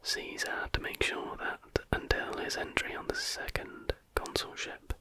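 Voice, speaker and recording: A person reading a factual text aloud in an unvoiced, breathy whisper, in a careful, measured ASMR style. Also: very high-pitched, completely flat delivery, bright, forceful tone. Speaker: reader.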